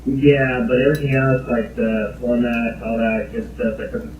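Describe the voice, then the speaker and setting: A man's voice: mid-range voice, level tone, moderate pace, a little hiss or hum. A man phoning as a customer, talking informally and a bit unsure, in a rambling casual way. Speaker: car-buying customer calling in. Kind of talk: casual phone chat. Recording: muffled, echoey recorded phone call.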